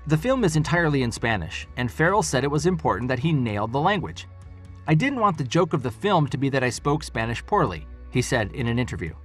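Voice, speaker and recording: A man's voice: medium pitch, very animated, quick pace, soft background music. A man narrating a compilation video in a scripted, upbeat way.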